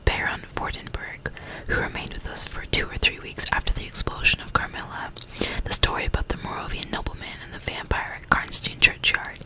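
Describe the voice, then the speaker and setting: Whispering woman, close-up ASMR reading. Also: poor audio. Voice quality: breathy whisper. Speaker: ASMR reader and commentator. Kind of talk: whispered ASMR book reading with casual asides. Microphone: close ASMR microphone.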